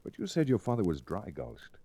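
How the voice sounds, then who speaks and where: An actor playing a level-headed, educated frontier lawyer hero in an old-time radio western, calm and measured. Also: male voice, deep voice, husky, full character voice. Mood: calm, serious. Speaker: lead actor and in-character narrator. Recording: restored vintage radio drama recording.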